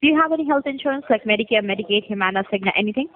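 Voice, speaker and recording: A woman's voice: medium pitch, lively intonation, racing. A woman cold-calling as a telemarketer, going through a sales script over the telephone. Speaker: telemarketing caller. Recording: tinny narrowband phone line.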